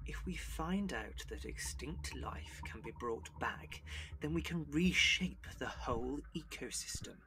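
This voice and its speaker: Man as bright, fussy, anxious professor. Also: medium pitch, expressive, unhurried, creaky, slight background noise, exaggerated voice, low-quality recording. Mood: sceptical, precise. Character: fussy, anxious male professor guarding his research. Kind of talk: audio drama acting.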